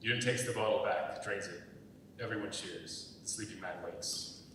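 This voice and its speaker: A man's voice: on the low side, normal speed, bright, forceful tone. A man reading stage directions as scripted narration, heard from far away in an echoey hall. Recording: echoey hall, far from mic, faint noise.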